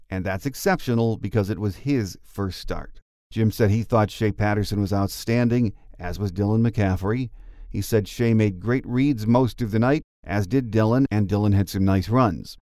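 A man's voice: fairly low pitch, mellow, dry recording, clean studio sound. A middle-aged or older man hosting a sports podcast, moving between talk and scripted bulletins. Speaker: podcast host.

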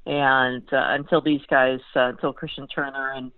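A woman's voice: rumbling low, little pitch movement, normal speed, no room sound. An American woman gives conversational sports analysis over a narrow, tinny phone connection. She speaks as a guest writer, often doubling back to rephrase, and there is little room sound around her voice. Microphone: phone call.

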